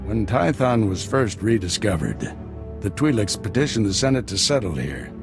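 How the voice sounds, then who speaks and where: A man playing a seasoned, middle-aged Jedi master in a video game, mentoring his apprentice in a measured, plainspoken way. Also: on the low side, big pitch swings, over music. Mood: calm, frank.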